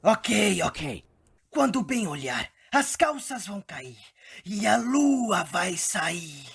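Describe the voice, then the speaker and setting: A woman voicing a furtive young male theatre stagehand in a hushed, breathy whisper for an animated story. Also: mid-range voice, takes it slowly, no room sound, studio quality, exaggerated voice. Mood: secretive, urging.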